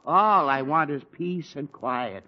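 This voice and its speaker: A man's voice: fairly high pitch, animated, takes it slowly. An actor playing an exasperated middle-aged father who sputters and grumbles, in 1940s radio acting. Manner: blustery, sputtering, often cut off mid-sentence. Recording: dull lo-fi 1940s radio recording.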